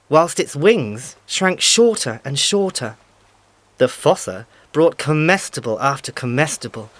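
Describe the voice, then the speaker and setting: A man narrating a scripted animal fable in a measured, emphatic storytelling manner. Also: fairly high pitch, pushed and bright, dry recording.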